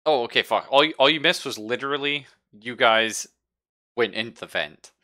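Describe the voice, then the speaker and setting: A man's voice: mid-range voice, moderate pace, bright, forceful tone. A man running a tabletop roleplaying game as game master, narrating casually with a jokey, sweary manner.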